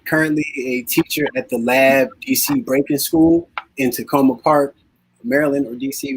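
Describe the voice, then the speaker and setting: Relaxed man introducing himself. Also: mid-range voice, rough recording. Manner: relaxed, with fillers. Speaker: breaking teacher. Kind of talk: panel introduction.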